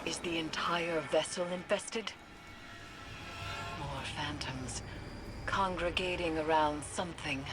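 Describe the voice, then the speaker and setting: A woman playing a grave, brooding occult expert in a video game, speaking in a solemn, ominous, deliberate way. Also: low voice, heavy background noise. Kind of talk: scripted video game voice acting.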